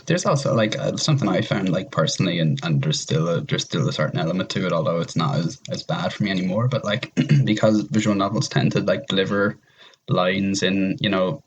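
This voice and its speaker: A man chatting casually in a rambling, halting way, often repeating himself as he works out his point. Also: sits low, breathy edge, muffled recording. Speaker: podcast guest. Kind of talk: casual chat.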